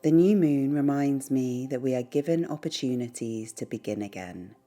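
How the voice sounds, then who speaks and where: A woman leading a guided meditation in a soothing, gentle, unhurried way, pausing between short phrases. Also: very deep voice, expressive. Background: background music.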